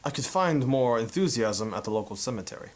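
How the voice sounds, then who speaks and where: A man's voice: mid-range voice, animated, a little fast, natural voice. A man playing a hard-boiled, sardonic photographer turned sleuth in a 1950s noir radio drama, with wry, tough-talking delivery. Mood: pressing.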